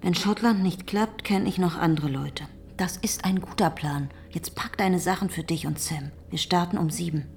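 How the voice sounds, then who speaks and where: An actress playing a determined farm woman in a radio play, decisive and urging, in short sentences with a husky, breathy voice. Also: fairly low pitch, moderate pace, hardly any pauses, slight background noise. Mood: persuading. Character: determined, urgent farm woman planning secret escape. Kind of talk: German radio play acting.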